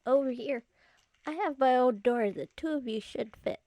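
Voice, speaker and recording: A woman's voice: high-pitched, normal speed, dark tone, dry recording, close to an everyday voice. A woman playing a troubled, apologetic streetwise character in an old-time radio drama, speaking in a subdued way.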